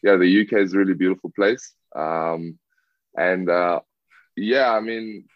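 A man's voice: deep voice, a little slow, no room sound. A young man from South Africa, a rugby player answering interview questions casually, with many fillers and restarts. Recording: dull lo-fi dry sound.

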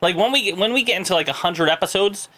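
A man co-hosting a casual podcast, with a high voice, laughing often and swearing freely. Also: quick pace, bright, forceful tone. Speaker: podcast co-host.

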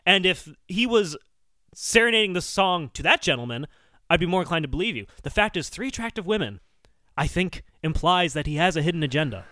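A man's voice: high-pitched, big pitch swings, a little fast, pushed and bright, no room sound, studio quality. A man co-hosting a comedy podcast in casual banter, playfully over-analysing as he talks.

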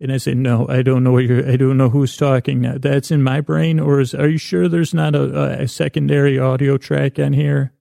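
A man with a gravelly, creaky voice wanders through a silly bedtime monologue. His tone is mellow and relaxed, and he stumbles and doubles back on words in a self-deprecating way. The sound is close and dry. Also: mid-range voice, no room sound. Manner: meandering, halting, stumbling over and repeating words. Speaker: podcast host. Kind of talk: rambling bedtime podcast monologue.

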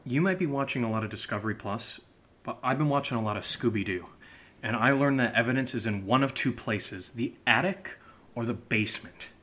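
A man's voice: medium pitch, brisk, a bit bright, natural voice. A man playing a goofy, easily flustered amateur investigator who stammers and repeats himself, in naturalistic audio drama dialogue. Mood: earnest.

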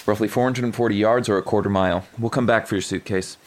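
An actor playing an evasive, smooth-talking man with secrets in a thriller audio drama, wry and placating. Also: fairly low pitch, steady tone, fast, background noise, lightly acted. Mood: wry, assured.

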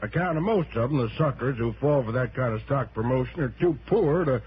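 A man's voice: medium pitch, lively intonation, a little fast, a little hiss or hum, natural voice. An American actor playing a folksy, talkative company man in a 1960s radio drama, colloquial and a little hesitant, on a muffled old recording. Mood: gossipy, suspicious.

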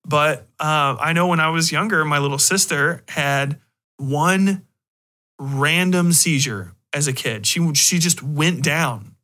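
A man's voice: on the high side, slow pace, sharp tone. A man hosting a podcast, talking casually and emphatically with blunt opinions and frequent swearing. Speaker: podcast host.

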